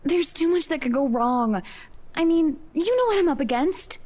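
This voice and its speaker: A woman's voice: high-pitched, lively intonation, moderate pace, a little mellow. An actress playing a nervous, self-doubting young woman in a naturalistic audio drama. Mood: anxious. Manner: hesitant, nervous.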